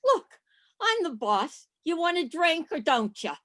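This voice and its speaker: A woman playing a gruff, hostile barman in a radio play, curt and contemptuous. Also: very high-pitched, very animated, measured pace, a bit bright, heavily acted. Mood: gruff, impatient. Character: gruff, hostile male bartender Nick, adult. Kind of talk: community theatre radio play acting. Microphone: video call.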